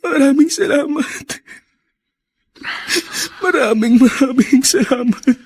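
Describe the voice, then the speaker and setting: A man's voice: very high voice, takes it slowly, darkish tone, no echo, full character voice. A Filipino man acting an earnest, repentant son in a radio drama, pleading and emotional. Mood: grateful, remorseful. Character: repentant adult son in hospital, tearful and earnest.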